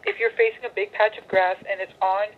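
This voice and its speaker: A woman's voice comes thinly through a phone speaker with slight noise. She gives directions patiently, pausing to work out how to phrase them. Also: medium pitch, fairly even intonation. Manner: patient, searching for words. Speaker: university phone operator giving directions. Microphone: telephone heard over speakerphone. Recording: tinny noisy phone call through speakerphone.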